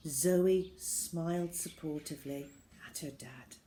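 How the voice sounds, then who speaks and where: A woman reading a children's novel aloud as an expressive storyteller. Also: sits low, slow, noisy background.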